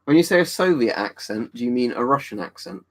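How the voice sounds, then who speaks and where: A man's voice: medium pitch, lively intonation, a little fast. A man, casually chatting and answering viewers on a livestream. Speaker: livestream host reading chat. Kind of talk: casual chat.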